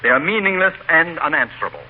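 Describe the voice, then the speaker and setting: Stuffy formal mayor, easily flustered, old radio comedy, tinny with some hiss. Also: male voice, on the high side, big pitch swings, fast. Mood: pompous. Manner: pompous, formal, wordy. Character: pompous, easily flustered small-town mayor. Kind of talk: 1940s radio comedy acting. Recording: tinny, hissy 1940s radio recording.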